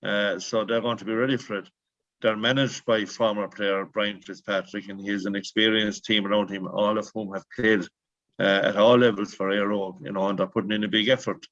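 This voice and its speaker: A man with an Irish accent, a sports journalist previewing a game on the radio, steady with many fillers. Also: fairly low pitch, a little fast, no room sound. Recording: dry, dull lo-fi sound.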